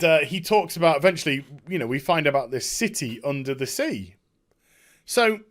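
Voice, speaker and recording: A man talking casually and enthusiastically as a gaming video creator, recapping a story in an emphatic, halting, rambling way. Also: a little high, fairly bright tone.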